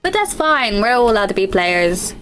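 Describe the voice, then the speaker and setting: A woman's voice: mid-range voice, big pitch swings, normal speed, fairly bright tone, soft background music. A young woman streaming a game, chatty and giggly, rambling as she talks and a bit stuffed up. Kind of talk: game commentary, reading dialogue aloud.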